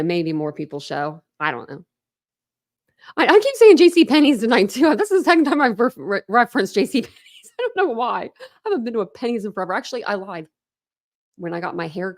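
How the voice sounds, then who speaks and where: A woman's voice: fairly low pitch, creaky. A woman runs a true crime livestream in loose, chatty commentary. She rambles, trips over her own starts and circles back. The delivery stays casual and unscripted. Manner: rambling, chatty, self-interrupting with laughs. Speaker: true crime channel host.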